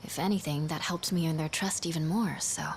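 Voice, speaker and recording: A woman's voice: low-pitched, steady tone, a little fast, a little hiss or hum, lightly acted. A woman playing a playful but secretive young woman in a video game cutscene, casual and breezy. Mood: low, secretive.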